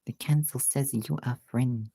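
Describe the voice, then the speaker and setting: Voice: mid-range voice, fairly even intonation, measured pace, creaky, mellow, no room sound. A British streamer chatting casually and informally to viewers, thinking aloud and swearing now and then. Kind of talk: casual livestream chat.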